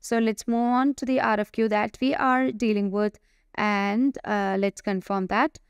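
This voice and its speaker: Clear-voiced woman giving a software walkthrough. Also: mid-range voice, steady tone, measured pace, dry recording, lo-fi sound. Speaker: software tutorial presenter. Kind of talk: spoken walkthrough of a software demo.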